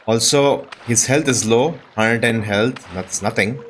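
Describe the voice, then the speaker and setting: A man's voice: mid-range voice, a little slow, a bit bright, slight background noise. Man casually commenting over gameplay. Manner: awestruck exclamations, talks to himself and game characters. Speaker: gaming video host.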